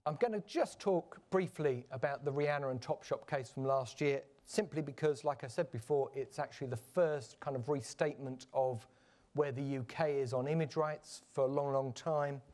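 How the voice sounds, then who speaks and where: A man giving a measured, explanatory conference presentation, with frequent fillers and restarts. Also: mid-range voice, darkish tone. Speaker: conference panel speaker. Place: conference stage.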